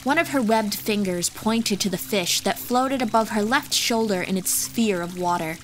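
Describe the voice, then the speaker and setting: Female narrator for scripted fantasy fiction, medium pitch, over a clear music bed. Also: normal speed, low-quality recording. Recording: music behind the voice.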